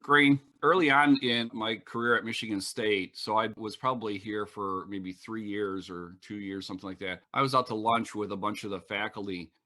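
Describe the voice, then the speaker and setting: A middle-aged man, a professor, answering interview questions in a measured, matter-of-fact storytelling way. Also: fairly low pitch, fairly even intonation, bright, forceful tone. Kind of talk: reflective storytelling.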